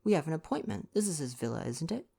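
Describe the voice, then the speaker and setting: Man acting eager storybook gentleman, cheerful, buoyant, emphatic, swooping lively intonation. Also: fairly high pitch, fast talker, vocal fry, slightly rough, pitched above the performer's own voice, heavily acted. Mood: insistent.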